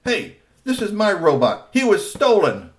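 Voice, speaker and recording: A man voicing an eager young male hero who pleads and insists in a raised, high voice. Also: wildly swooping pitch, a little slow, heavily acted. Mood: insistent.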